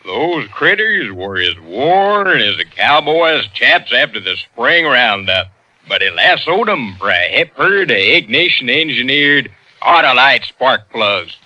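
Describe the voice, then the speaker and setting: An actor playing a folksy, cheerful cowboy sheriff with an American Western accent in a 1950s radio commercial. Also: male voice, mid-range voice, big pitch swings, measured pace, pushed and bright, heavily acted. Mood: happy boasting. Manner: drawling, full of cowboy sayings. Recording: dull vintage radio recording.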